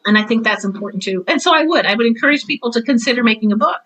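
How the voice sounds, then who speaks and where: Older lady in her 60s, high bright voice, chatty and opinionated interview guest. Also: expressive, racing. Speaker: guest, retired teacher and author.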